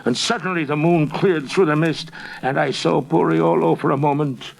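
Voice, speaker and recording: An old shepherd, acted out by a man, gives his eyewitness account of a ghostly sight. The voice is lifted and husky, with a haunted, awestruck intensity fit for a dramatic folk tale. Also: on the high side, fairly even intonation, moderate pace, pitched above the performer's own voice. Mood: awed, agitated.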